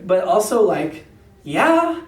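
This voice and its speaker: A man hosts a relaxed podcast interview, speaking off the cuff and affirming his guest as he goes. He is recorded in an echoey room, slightly away from the microphone. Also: high voice, takes it slowly. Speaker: podcast host and radio show writer. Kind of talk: casual conversational interview. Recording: roomy, slightly distant mic.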